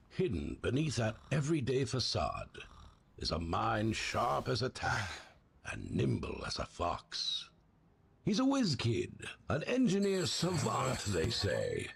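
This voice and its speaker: An actor playing a wry, knowing, theatrical narrator in a folksy 1950s storytelling style for a video game. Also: male voice, lowish voice, creaky, a little hiss or hum, heavily acted. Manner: arch, folksy, dramatic.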